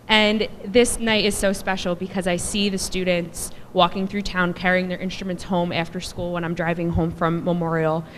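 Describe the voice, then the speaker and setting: A woman in her thirties from New Jersey, a band director speaking softly because of a cold, chatty and self-deprecating as she introduces a concert. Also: lowish voice. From New Jersey, USA. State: soft voice from a cold. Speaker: middle school band director. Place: school auditorium. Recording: echoey hall with faint noise.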